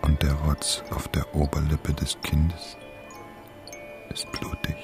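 Very deep-voiced, sardonic harried man, music behind. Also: completely flat delivery, slow. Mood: uneasy, observing. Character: exasperated, harried man narrating his own absurd ordeal. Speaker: radio drama actor. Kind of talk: radio drama inner monologue.

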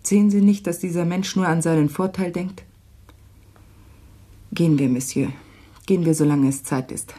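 A woman playing an agitated, wounded Frenchwoman in a German radio play, with a husky voice and urgent, formal pleading. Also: on the low side, moderate pace, long pauses, warm tone.

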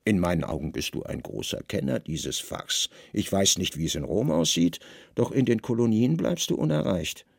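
A man's voice: low-pitched, lively intonation, normal speed, creaky, breathy edge, no room sound, studio quality, pitched below the performer's own voice, heavily acted. Man playing a weary, commanding Roman governor. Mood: probing, dry. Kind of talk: literary radio drama acting.

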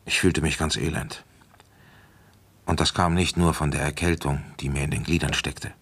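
A very low-pitched male police commissioner in a radio crime drama. He is weary but authoritative, giving orders and telling his case in clipped, matter-of-fact phrases. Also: steady tone, moderate pace, a bit bright, breathy edge, exaggerated voice. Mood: sombre, reflective. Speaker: detective and first-person narrator. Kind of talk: radio play acting with narration.

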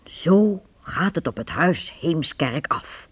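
A woman narrating a Dutch radio play in a measured, dramatic storytelling manner. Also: sits low, expressive, breathy edge, full character voice. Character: storybook narrator of a medieval adventure.

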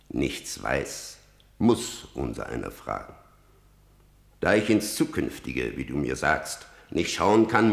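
An old, defiant seer of radio tragedy, declaims verse slowly and gravely. His delivery swells and drops widely as he probes and warns. The space around him sounds roomy. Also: deep voice, full character voice. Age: older. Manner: declamatory, deliberate, swelling and dropping. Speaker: actor. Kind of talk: theatrical radio drama in verse. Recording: roomy, reverberant radio play recording.

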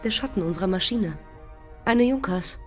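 A woman's voice: mid-range voice, animated, normal speed, close to an everyday voice. An actress playing a daring, intense 1920s woman aviator in a poetic radio drama, speaking in clipped, incantatory fragments. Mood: dreamy, precise. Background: music under the voice.

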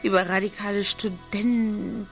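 An actress playing a distraught, suspicious wife in a German radio drama, speaking in a halting, agitated way. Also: female voice, medium pitch, steady tone, vocal fry, over music, lightly acted. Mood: agitated, scornful. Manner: halting, agitated, emotional delivery. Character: distraught, bitter, suspicious wife confiding her fears.

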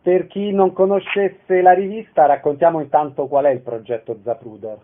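A man's voice: fairly high pitch, steady tone, normal speed, slight background noise. A man hosting a radio interview, introducing guests and putting questions to them. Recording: tinny, phone-like radio sound.

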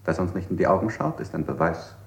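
Dogmatic interrogator, radio drama, low pitch, roomy echo, declarative, relentless. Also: male voice, quick pace, creaky. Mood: accusing. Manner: declarative, emphatic. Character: accusing interrogator, male, dogmatic, relentless twisted logic. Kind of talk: avant-garde radio play acting. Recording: roomy, echoing studio sound.

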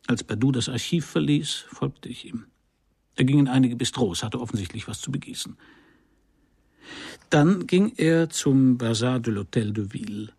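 An actor playing a wry, self-assured Parisian private detective in a radio crime drama, speaking dryly in terse, laconic sentences. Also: male voice, mid-range voice, moderate pace, a little mellow, slightly rough. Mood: dry, brooding. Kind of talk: first-person radio crime drama narration and dialogue.

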